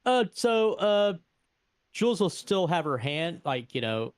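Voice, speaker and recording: A man's voice: sits high, very animated, measured pace, clean tone, no room sound, studio quality. Hesitant man chatting at a gaming table. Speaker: tabletop game player. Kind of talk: casual roleplay table chat.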